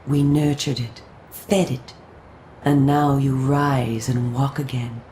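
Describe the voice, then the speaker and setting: An actress voicing a solemn, coolly persuasive female vampire resistance agent in a video game, speaking in a formal, measured, theatrical way. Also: rumbling low, level tone, mellow, echoey room, a little hiss or hum, rough recording. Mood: calm, reassuring. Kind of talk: scripted video game cutscene acting.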